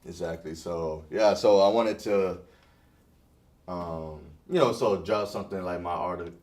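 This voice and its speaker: Young host guy, real deep voice, says like a lot, sounds a little off-mic. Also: unhurried, darkish tone, recorded from a distance. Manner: hesitant, many "like" fillers. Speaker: podcast host and blog writer. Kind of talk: casual podcast interview.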